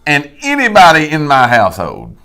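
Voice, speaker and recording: Animated man chatting. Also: a little high, moderate pace. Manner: folksy, emphatic, rhetorical questions. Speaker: co-host of a live real estate talk show. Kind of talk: casual chat.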